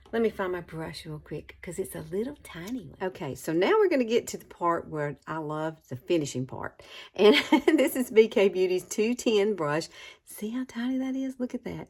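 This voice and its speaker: A woman, chatting casually through a makeup tutorial and calling viewers girl and y'all. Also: mid-range voice. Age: 60s. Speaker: beauty vlogger.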